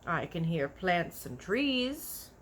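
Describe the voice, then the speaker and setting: A woman's voice: fairly low pitch, very animated, slow, slight background noise. A woman voicing a kindly, big-eared storybook giant who is earnest, proud and easily offended, talking in folksy broken grammar with invented words. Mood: emphatic. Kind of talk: animated children's storybook character voice.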